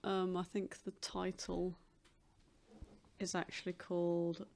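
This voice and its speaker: A podcast co-host speaks in a mid-range pitch. The talk is casual and conversational, as among fellow hosts. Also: completely flat delivery, slow, gravelly, darkish tone, a little hiss or hum. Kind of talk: casual chat.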